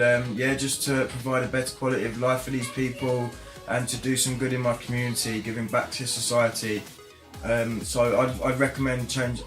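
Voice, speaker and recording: A young man giving an enthusiastic testimonial about a programme, halting with frequent restarts. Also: medium pitch. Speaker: programme participant. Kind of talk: unscripted testimonial. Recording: roomy echo with faint background music.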